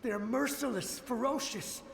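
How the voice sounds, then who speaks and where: Frightened, devoted samurai soldier, high male voice in long reverb, urgent, pleading game delivery. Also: a little slow, creaky, slight background noise, heavily acted. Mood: frantic, fearful. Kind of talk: video game voice acting.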